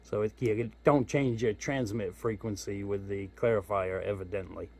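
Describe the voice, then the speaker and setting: A man's voice: lowish voice, steady tone, moderate pace, darkish tone. A man giving a casual hands-on product walkthrough as a hobbyist reviewer, informal and thinking aloud as he goes. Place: indoors at home.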